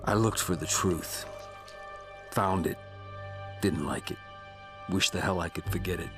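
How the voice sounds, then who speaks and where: A man playing a brooding, haunted video game antihero, narrating in a grim, deliberate manner. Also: deep voice. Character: brooding, haunted male antihero, more and less than human. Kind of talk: scripted game cutscene narration. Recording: loud music under the voice. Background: loud music.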